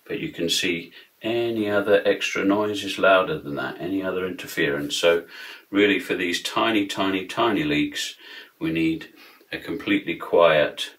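Very deep-voiced man explaining. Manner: measured, steady explaining with frequent ums. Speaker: product demonstrator. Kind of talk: explanatory product demonstration.

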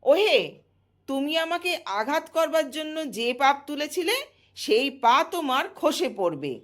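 A woman's voice: high-pitched, very animated, takes it slowly, heavily acted. A woman storyteller voicing a wary, stern shadow goddess with a solemn, warning manner. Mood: angry, cursing.

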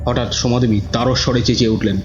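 A man's voice: mid-range voice, fairly even intonation, quick pace. A man narrating a scripted horror story in a dramatic, suspenseful manner. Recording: dull audio with music bed.

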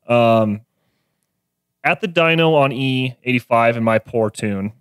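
A man's voice: medium pitch, slow, clear, resonant tone, dry recording, studio quality. A man, chatting casually about cars as a guest mechanic on a podcast. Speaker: guest mechanic and car tuner. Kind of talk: casual podcast car chat. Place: small garage-styled podcast studio. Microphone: close studio mic on boom arm.

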